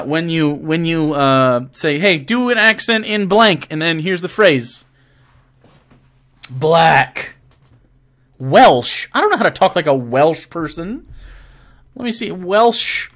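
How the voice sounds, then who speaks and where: A young man hosts a live stream in a loose, rambling way, reading viewer messages aloud and answering them. His voice sits a bit higher than most men's. He swears freely and jokes between answers. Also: a bit bright. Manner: profane, joking, rambling; reads donations and chat messages aloud. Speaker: game master answering viewer questions. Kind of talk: casual live-stream chat.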